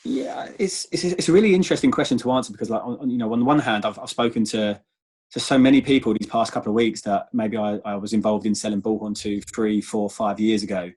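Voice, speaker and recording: A man speaking as a guest in a conversational business interview, measured and often repeating words as he goes. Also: on the low side, a little mellow, breathy edge. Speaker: interview guest, sales manager.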